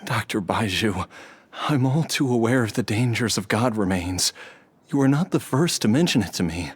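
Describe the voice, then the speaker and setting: A man's voice: lowish voice, expressive, moderate pace, husky. A man voicing a frail, resigned young man with a heart illness, speaking earnestly and wearily. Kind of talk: video game cutscene voice acting.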